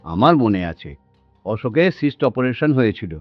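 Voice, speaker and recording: A man playing a patient, caring elderly father in a radio drama, speaking gently and hesitantly and repeating himself. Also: mid-range voice, big pitch swings, moderate pace, dry recording, natural voice. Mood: courteous, wistful. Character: patient, caring elderly father looking after ailing wife. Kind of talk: Bengali radio drama acting. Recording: dull lo-fi audio with music behind.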